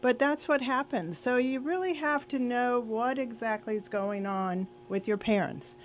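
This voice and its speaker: A woman's voice: a little high, fairly even intonation, measured pace, slight background noise. A woman guest on a radio show chatting casually and telling anecdotes, acting out the dialogue she quotes. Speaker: radio show guest, senior seminar organizer.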